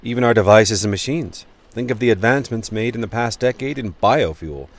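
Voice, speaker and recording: Biotech researcher male actor, lower voice, smooth and evasive, technical phrasing, staged interview drama. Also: brisk, lightly acted. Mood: self-assured. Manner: formal, technical, self-assured.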